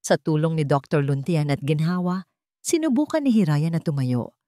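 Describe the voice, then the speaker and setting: A woman with a Filipino accent reading a dramatic story as narrator, with deliberate, suspenseful phrasing. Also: deep voice, animated, warm tone, no room sound, studio quality.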